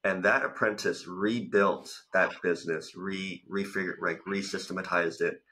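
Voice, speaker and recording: Low, bright-voiced man telling stories. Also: fairly even intonation, moderate pace. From Thailand. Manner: talkative, storytelling with many "like" fillers. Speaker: guest, business coach and founder. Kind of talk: casual interview. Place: home room with bookshelves. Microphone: studio mic in front, video call.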